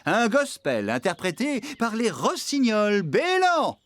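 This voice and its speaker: A man's voice: sits high, wildly swooping pitch, slow, dry recording, full character voice. An actor playing a jovial farmhand with a showman's streak in a French children's cartoon, friendly and theatrical. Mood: showman enthusiasm. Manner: theatrical announcing, swinging to friendly chat. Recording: music behind the voice.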